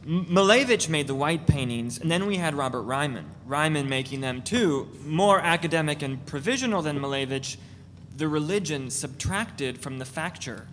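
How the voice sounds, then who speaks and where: Man reading as a pretentious, pushy artist with a fairly high voice, recorded in an echoey room with faint hiss. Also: animated, normal speed, fairly bright tone. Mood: pushy, eager. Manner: pretentious, pressing sales pitch. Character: pushy self-promoting male artist. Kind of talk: dramatic reading of a novel.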